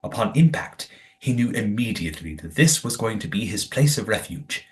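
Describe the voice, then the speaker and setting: A man's voice: fairly low pitch, sing-song, moderate pace. An actor playing a righteous, well-spoken man in an audio play, formal and emphatic. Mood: earnest storytelling. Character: righteous, well-spoken man guarding his community's history.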